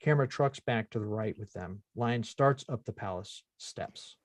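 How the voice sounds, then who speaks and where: Someone reading out script action lines, middle pitched voice, close dry mic, table read narration. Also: normal speed, no echo. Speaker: stage directions reader. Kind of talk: scripted narration of screenplay directions.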